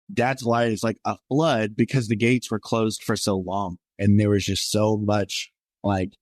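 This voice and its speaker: A man's voice: a little mellow, no echo. A man in his twenties, a podcast guest, telling his personal story in a rambling, earnest way full of fillers. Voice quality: somewhat high voice for a man.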